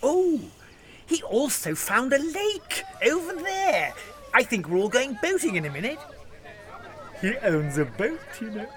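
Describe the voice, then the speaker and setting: A British actor playing a cheerful, oblivious funeral officiant in an audio sitcom, rambling on breezily. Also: male voice, very high-pitched, sing-song, measured pace, exaggerated voice. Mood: breezy, cheerful.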